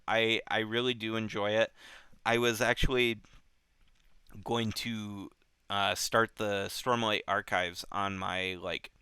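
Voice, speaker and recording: Slow-talking man with a bright, clear voice. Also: lowish voice, no echo, studio quality. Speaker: host of a book club show. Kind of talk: casual unscripted book discussion.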